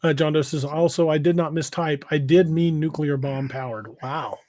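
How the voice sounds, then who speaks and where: Higher-pitched man chatting on a livestream. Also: fairly even intonation, moderate pace, a little mellow, no room sound, studio quality. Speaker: podcast host and novelist. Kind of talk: casual livestream chat, reading out viewer comments. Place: indoors on an internet livestream video call.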